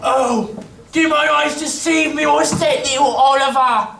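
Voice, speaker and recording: A woman's voice: high-pitched, fairly even intonation, a little slow, creaky, fairly bright tone, exaggerated voice. A woman playing a fawning, wheedling rustic workhouse keeper in an amateur radio play, gushing and folksy. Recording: echoey hall, distant mic, faint noise.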